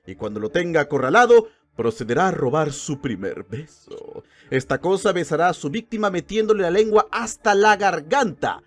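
A man's voice: on the high side, expressive, moderate pace. A man narrating a lore video in a playful, mock-dramatic way. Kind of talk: comedic scripted narration.

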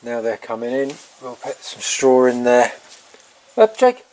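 A middle-aged man in a casual, rambling vlog, talking haltingly outdoors on a farm into a handheld camera. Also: mid-range voice, big pitch swings, a little mellow, low-quality recording. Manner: halting, breaking off to talk to animals. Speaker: farm vlogger. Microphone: handheld camera at arm's length.

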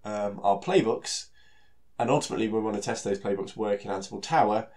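A man with a British accent and a head cold that makes him sound nasal and stuffy, presenting a technical talk in a relaxed way, full of fillers and repeated words. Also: on the low side, a little fast. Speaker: solution architect giving a technical talk.

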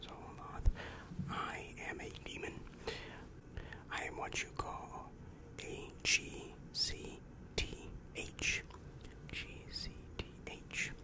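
Young man whispering as a weary demon counselor. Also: lightly acted. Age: young adult. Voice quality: breathy whisper. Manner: slow, repetitive, patronizing reassurance. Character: weary, exasperated male demon guidance counselor in hell. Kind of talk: ASMR roleplay. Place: small dim room indoors. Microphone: large microphone very close to mouth.

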